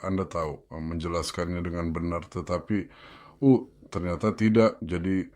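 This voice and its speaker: Deep-voiced man, halting and dry. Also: no room sound. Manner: halting, leans on fillers. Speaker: stroke survivor. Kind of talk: personal testimony.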